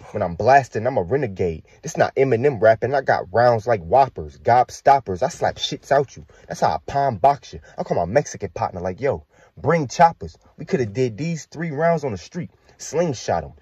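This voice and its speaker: Give this a young American man rapping battle verses with no beat. His voice is very husky and rough, and his pitch swings in a lively way as he taunts and boasts. The sound is dry and close. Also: dry recording. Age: young adult. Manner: aggressive, boastful, taunting delivery. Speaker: battle rapper. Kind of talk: a cappella battle rap verses.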